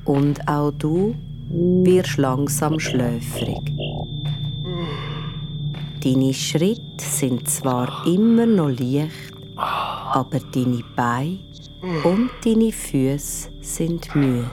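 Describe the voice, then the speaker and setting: An actress with a Swiss German accent as a soothing, hypnotic narrator of a scripted bedtime sleep story. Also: female voice, deep voice, lively intonation, drawn-out words, long pauses, over music, exaggerated voice. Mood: soothing, drowsy.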